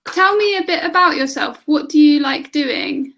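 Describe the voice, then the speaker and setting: High-pitched woman tutoring on a call. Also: expressive, normal speed, fairly bright tone. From England. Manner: asks lots of questions, laughs often. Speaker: online English tutor. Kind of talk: casual conversation lesson. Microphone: video call.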